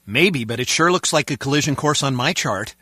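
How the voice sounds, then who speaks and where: A man's voice: mid-range voice, lively intonation, fairly quick, bright, forceful tone, dry recording. An actor playing a sceptical male technician in a video game, with a dry, sarcastic manner. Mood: wry, irritated.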